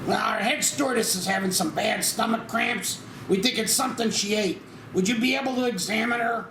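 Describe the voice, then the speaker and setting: A man acts out a flustered, earnest crewman in a comedy script. His pitch is very high and his delivery hesitant. The room is echoey and noisy. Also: fairly quick, natural voice. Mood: anxious. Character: flustered, earnest airline crewman seeking help. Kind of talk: comic screenplay table read. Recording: echoey room, noticeable background noise.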